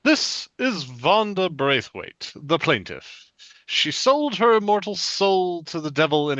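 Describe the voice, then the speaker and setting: Solemn court show announcer for a sketch, male performer, formal, forceful tone, higher than average male pitch. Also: no room sound, exaggerated voice. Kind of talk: scripted TV announcement, read aloud.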